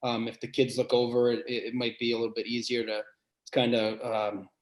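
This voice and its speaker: A man with a big voice who is a head basketball coach, answering podcast questions earnestly and thinking aloud with pauses and restarts. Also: mid-range voice, monotone, low-quality recording.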